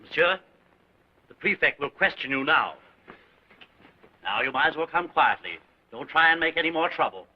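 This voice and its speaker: An actor playing a stolid, businesslike police officer on an old film soundtrack. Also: male voice, on the high side, normal speed, pauses often, husky. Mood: firm. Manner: flat, businesslike. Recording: muffled 1930s film sound, slightly distant.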